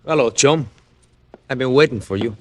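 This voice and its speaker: A man's voice: mid-range voice, normal speed, darkish tone, close to an everyday voice. A man acting a callous gangster henchman in an old radio crime drama, taunting and threatening in clipped tough-guy talk. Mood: smug. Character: menacing, callous male gangster henchman holding a gun.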